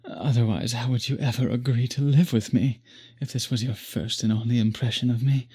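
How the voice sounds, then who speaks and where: A man as a breathy, courtly, obsessive vampire. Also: mid-range voice, fairly even intonation, dark tone, natural voice. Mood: possessive, uneasy. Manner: formal, florid, slow and beseeching. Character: courtly, obsessive, possessive male vampire pleading with the listener. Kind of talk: intimate roleplay audio acting.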